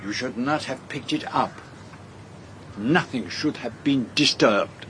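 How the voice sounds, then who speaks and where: An actor playing a shrewd, courteous detective with a French accent, formal and precise in a radio drama. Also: male voice, mid-range voice, takes it slowly, a bit bright, slight background noise. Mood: grave, probing.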